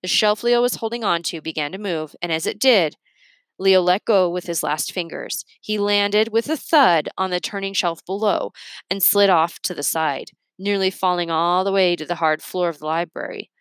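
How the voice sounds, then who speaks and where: A woman narrating a children's book aloud in an expressive read-aloud style. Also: on the low side, normal speed, clear, resonant tone, dry recording.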